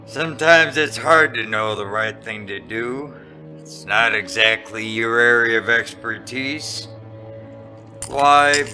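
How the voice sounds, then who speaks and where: A man playing a weary, wisecracking, hard-drinking noir private eye in a radio drama, with a deadpan hardboiled delivery. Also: medium pitch. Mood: wry, consoling. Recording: roomy echo, music behind.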